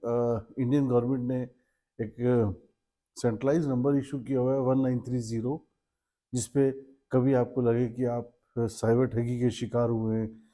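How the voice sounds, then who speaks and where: A man's voice: mid-range voice, mellow. A man giving an instructive, explanatory awareness talk, mixing Hindi with English terms and listing his points. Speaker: presenter.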